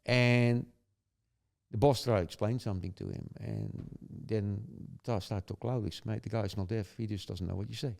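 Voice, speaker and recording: A man's voice: on the low side, animated, moderate pace, creaky, darkish tone. An older man from the Netherlands with a Dutch accent, telling stories in casual chat, chuckling often and rambling with tag questions. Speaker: guest.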